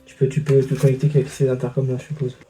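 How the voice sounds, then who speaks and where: A man's voice: mid-range voice, monotone, racing, slightly rough. A man in casual, teasing banter, helping out as a companion in a gear test. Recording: off-mic voice under loud music.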